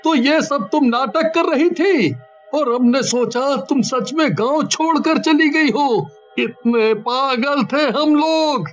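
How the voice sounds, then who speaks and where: A man's voice: very high voice, takes it slowly, highs cut off, faint music behind. An actor playing a plain-spoken village man in a horror story. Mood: defiant. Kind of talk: animated horror story dialogue.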